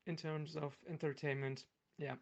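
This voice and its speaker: Male guest, German accent, creaky voice, hesitant casual replies, slightly lo-fi recording. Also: fairly high pitch, little pitch movement, normal speed, darkish tone. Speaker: podcast guest. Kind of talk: casual chat.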